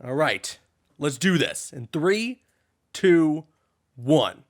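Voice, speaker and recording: A man hosting a casual reaction video, talking in an excited, exclamatory way. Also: a little high, very slow. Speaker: video creator, host.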